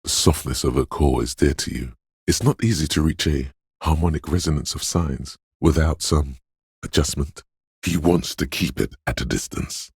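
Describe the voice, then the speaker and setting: Game inner voice: dreamlike and foreboding, rock-bottom bass with heavy gravel, hushed and intimate, cryptic dramatic narration. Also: male voice, dry recording, clean studio sound, full character voice. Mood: ominous, mystical. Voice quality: very deep, gravelly, husky. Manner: slow, hushed, ominous, cryptic second-person pronouncements with dramatic pauses. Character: eerie inner voice of intuition, dreamlike, brooding, intimate.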